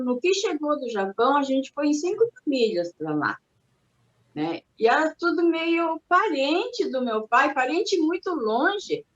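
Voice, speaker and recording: A fairly high-voiced older woman, born in Japan, recalls the past in a thoughtful, halting way, often breaking off and starting over. Her voice sits in a roomy space, and the recording sounds dull and muffled. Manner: reflective, hesitant, with many restarts and fillers. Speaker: interviewee. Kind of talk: recalling her family's immigration.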